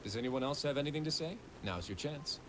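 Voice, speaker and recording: An actor playing a confident, easygoing male prison warden in a video game, talking in a relaxed, wry way. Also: mid-range voice, quick pace, background noise, lightly acted. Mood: explaining.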